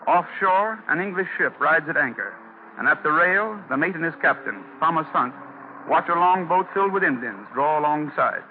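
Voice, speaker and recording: A male narrator delivers a formal, oratorical script in 1940s radio style. His voice is somewhat high. It sounds narrow and tinny, like an old broadcast. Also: expressive, normal speed, a little hiss or hum. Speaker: historical advisor, narrator.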